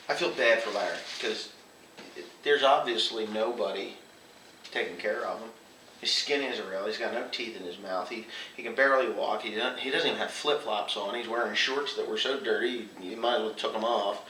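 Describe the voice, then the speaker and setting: A man's voice: lowish voice, pushed and bright. A man casually telling anecdotes, acting out bits of quoted dialogue as he goes. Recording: roomy, distant mic, faint noise.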